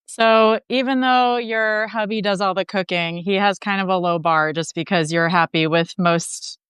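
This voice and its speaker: Curious woman host, smooth voice. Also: mid-range voice, steady tone, normal speed, a bit bright, no echo. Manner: friendly and curious, frequent affirmations. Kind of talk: casual interview chat.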